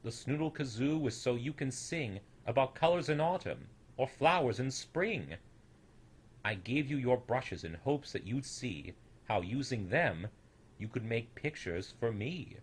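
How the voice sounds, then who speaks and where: A man's voice: medium pitch, expressive, measured pace, husky. A man voicing a big, old, wise creator in a storybook, tender, solemn and fatherly. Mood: solemn, reassuring.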